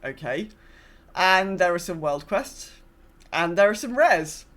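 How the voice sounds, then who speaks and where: A woman's voice: on the low side, animated, slow pace, fairly bright tone. A woman co-hosting a casual gaming podcast, speaking in a wry, understated and somewhat hesitant way. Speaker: podcast co-host.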